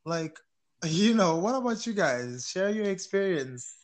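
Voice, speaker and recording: Young guy from cameroon hosting a group chat, kinda high voice, laughs a lot, keeps throwing questions. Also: a little slow. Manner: animated, laughs often, asks the others questions. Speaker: host. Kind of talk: casual panel chat.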